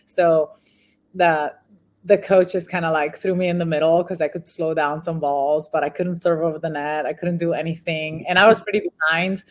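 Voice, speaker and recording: Low-voiced woman from Venezuela. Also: fairly even intonation, moderate pace. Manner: rambling, frequent ums and restarts. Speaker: podcast guest, former pro volleyball player. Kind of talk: casual interview talk.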